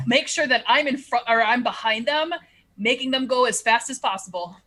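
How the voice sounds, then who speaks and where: A woman's voice: a little high, normal speed, sharp tone. A woman in casual table talk as a player in a tabletop role-playing game.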